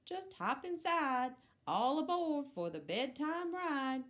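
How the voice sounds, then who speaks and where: A woman's voice: high voice, big pitch swings, takes it slowly, pitched above the performer's own voice, heavily acted. Woman doing a friendly little truck. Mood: welcoming. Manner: warm, welcoming.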